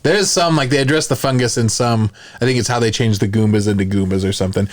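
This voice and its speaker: A man's voice: mid-range voice, quick pace. A man hosting a podcast, chatting casually about films in an enthusiastic, rambling way. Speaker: podcast host.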